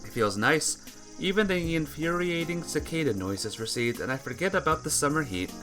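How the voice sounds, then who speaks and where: A playthrough host reading a visual novel aloud as expressive, dramatic scripted narration. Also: mid-range voice, moderate pace, over music. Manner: dramatic reading, acts out dialogue.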